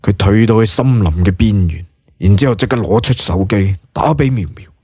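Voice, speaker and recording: A man's voice: lowish voice, brisk, dark tone, slightly rough, no echo. A man with a Cantonese accent narrating a scripted story dramatically, as in an audiobook.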